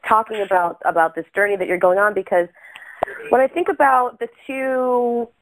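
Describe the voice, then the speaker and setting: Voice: mid-range voice, normal speed, fairly bright tone, low-quality recording. An interviewer hosting the show, speaking in a casual, effusive way and often repeating words as she gathers her thoughts. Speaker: interviewer, host.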